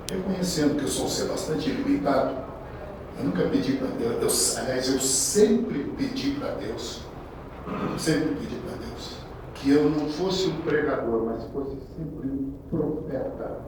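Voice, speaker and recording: A man's voice: mid-range voice, expressive, measured pace. A man in his sixties from Brazil preaching a sermon in a conversational, anecdotal way, restarting his phrases often. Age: 60s. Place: large echoey hall. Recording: echoey hall, distant mic, background noise.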